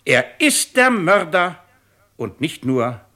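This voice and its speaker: A man playing a stern, sardonic royal judge in a 1950s German radio drama, speaking with pompous, ironic, forceful declamation. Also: on the high side, sing-song, slow pace, exaggerated voice. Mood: menacing.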